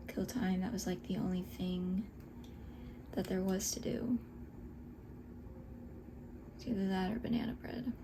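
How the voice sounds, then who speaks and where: A woman in her twenties, born in Scotland, chatting softly in a breathy half-whisper while doing her makeup at home, unhurried and full of little fillers. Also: medium pitch, noisy background. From Scotland, raised in Texas. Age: 20s. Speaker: esthetician and beauty vlogger. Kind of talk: soft whispered get-ready-with-me chat.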